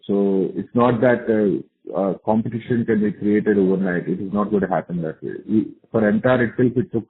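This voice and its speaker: Low-voiced Indian man on a phone line. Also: moderate pace. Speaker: company executive answering analysts. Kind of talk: earnings call question-and-answer. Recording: narrow tinny phone conference line.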